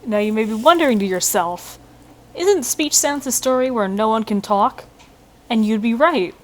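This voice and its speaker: A young adult woman giving a scripted class presentation as a student, recorded at a computer. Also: medium pitch. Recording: faint background noise.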